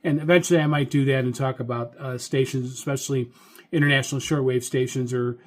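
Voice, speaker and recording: An American man, a radio hobbyist host, giving informal tips in a halting, rambling, explanatory way. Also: mid-range voice, steady tone. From United States. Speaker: amateur radio operator and host. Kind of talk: informal instructional talk.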